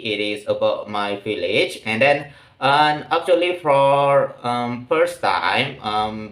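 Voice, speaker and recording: A man's voice: mid-range voice, a bit bright, echoey room. Hesitant young man. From Indonesia. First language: Malay. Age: late 20s. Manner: hesitant, halting, pauses often to search for words. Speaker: vlogger. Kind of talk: casual personal monologue.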